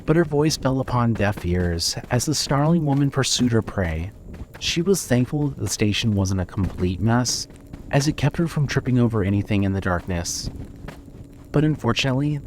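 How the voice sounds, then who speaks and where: A man's voice: mid-range voice, a little mellow, slight background noise. A man narrating a scripted dramatic story for a fiction podcast.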